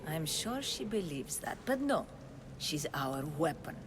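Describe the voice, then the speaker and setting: An actor playing a poised cult conspirator in a video game, measured and dryly superior. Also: mid-range voice, sing-song. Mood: knowing. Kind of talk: dramatic video game acting. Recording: loud ambient noise behind voice.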